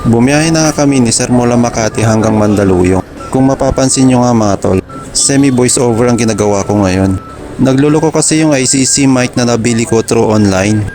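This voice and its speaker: A man's voice: mid-range voice, normal speed, music in the background. A Filipino man giving casual voice-over narration for his motorcycle taxi vlog. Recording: loud vehicle and street noise.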